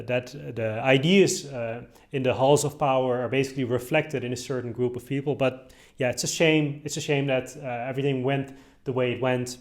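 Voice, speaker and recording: A man's voice: medium pitch, a little mellow. A young Dutch-born man of Moroccan roots commenting on a topic in a casual, hesitant way, with many fillers and restarts. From Netherlands. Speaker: video host commenting on clips.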